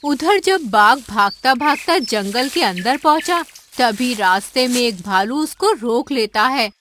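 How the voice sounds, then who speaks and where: A woman narrating a children's cartoon story in a deliberate storytelling manner. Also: on the high side, animated, a little hiss or hum. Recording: narration over loud music.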